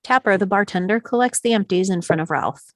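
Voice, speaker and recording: A woman's voice: medium pitch, fairly quick, dry recording. A woman reading stage directions as scripted narration for a screenplay table read, close to the microphone.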